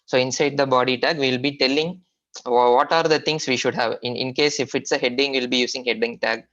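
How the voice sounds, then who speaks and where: A man's voice: mid-range voice, fairly even intonation, a bit bright. Indian-accented male trainer, dull lo-fi audio. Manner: halting, repetitive explaining with frequent hesitations. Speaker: software trainer. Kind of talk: informal technical lecture.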